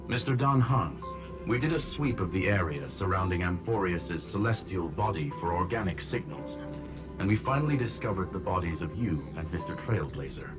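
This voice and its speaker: A man voicing a courteous robot gentleman scholar in a video game, speaking formally and precisely. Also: deep voice. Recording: loud music under the voice.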